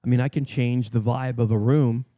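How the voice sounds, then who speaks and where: A man's voice: mid-range voice, steady tone, normal speed, warm tone, dry recording, clean studio sound. A middle-aged man co-hosting a casual podcast, explaining his thoughts earnestly and circling back over his words. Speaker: podcast co-host. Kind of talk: casual chat.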